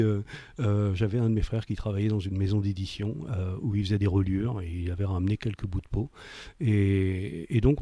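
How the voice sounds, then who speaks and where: A man's voice: fairly low pitch, warm tone, slightly rough, no room sound. A man, recalling his life as an interview guest in a hesitant way, searching for words and repeating himself. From Paris, France. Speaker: interview guest, leather craftsman. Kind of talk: reflective autobiographical interview talk.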